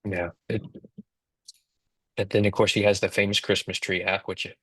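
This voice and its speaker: A man's voice: lowish voice, flat delivery, moderate pace, fairly bright tone, slightly rough, dry recording. A man in his thirties co-hosting a casual instructional chat on a video call. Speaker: co-host, app company team member. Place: indoors on a video call, virtual background.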